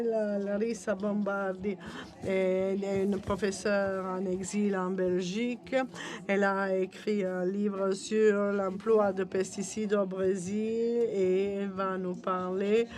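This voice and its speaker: A woman works as a simultaneous interpreter at a conference. Her mid-pitched voice stays even and moves slowly. She delivers phrases in halting pieces, correcting herself as she goes.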